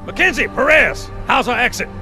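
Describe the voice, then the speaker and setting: A man playing a tough, gruff marine sergeant in battle in a video game. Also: very high-pitched, animated, fairly quick, pushed and bright, full character voice, low-quality recording. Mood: urgent. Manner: barking, urgent. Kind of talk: video game cutscene acting. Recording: music behind the voice.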